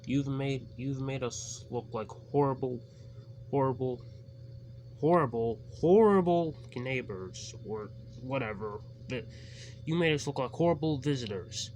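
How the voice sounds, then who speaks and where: Guy doing an annoyed train driver, snappy, higher voice. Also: animated, moderate pace, few pauses, fairly bright tone, slight background noise. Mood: cross. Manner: snappish and scolding. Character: cross male engine driver.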